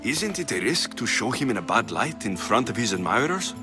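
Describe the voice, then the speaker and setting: An actor playing a firm, no-nonsense young Greek mercenary hero in a video game, blunt and commanding. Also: male voice, medium pitch, big pitch swings, a little fast, over music, lightly acted. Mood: questioning.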